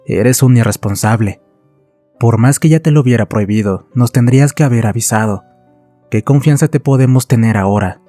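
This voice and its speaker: A man's voice: medium pitch, normal speed, warm tone, no room sound, faint music behind, close to an everyday voice. A man voicing an angry older brother in a horror story, stern and accusing. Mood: angry scolding.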